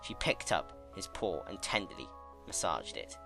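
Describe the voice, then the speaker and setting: A man reading a story as a dramatic narrator, solemn and measured in his storytelling. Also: deep voice, lively intonation, over music. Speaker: narrator, fan-fiction reader.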